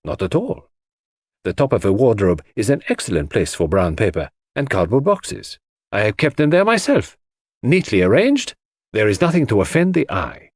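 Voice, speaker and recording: Man acting an excitable, fastidious little male detective. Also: fairly low pitch, no room sound, exaggerated voice. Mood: precise, self-satisfied. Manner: precise, theatrical, exclamatory. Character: excitable, fastidious French-speaking male detective, pleased with himself.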